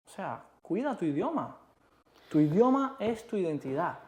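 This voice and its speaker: A man from Cuba with a Cuban Spanish accent, co-hosting a casual chat in an emphatic, animated way. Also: high-pitched, normal speed, a little hiss or hum. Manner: emphatic, animated, repeats rhetorical questions. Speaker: co-host.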